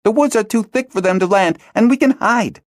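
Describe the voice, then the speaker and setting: A man reading an audiobook, voicing a distraught young girl who pleads and shouts in a high, slow, shrill voice. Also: no echo, clean studio sound, natural voice. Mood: exasperated.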